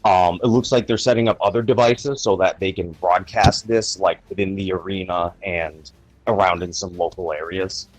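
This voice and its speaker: A man narrating a tabletop game as game master, relaxed and thinking aloud with plenty of fillers. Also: sits low, moderate pace, dry recording, rough recording.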